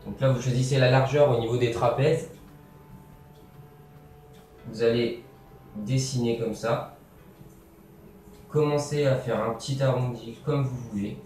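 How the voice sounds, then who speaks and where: A man's voice: medium pitch, a little slow, pauses often, a little hiss or hum. Young man explaining in an echoey room. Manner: relaxed, talks while working, many fillers and "hop, voilà". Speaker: DIY tutorial maker. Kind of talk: casual step-by-step tutorial. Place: bare room with grey wall, indoors. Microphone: camera mic from a distance. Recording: roomy echo, distant mic, faint music.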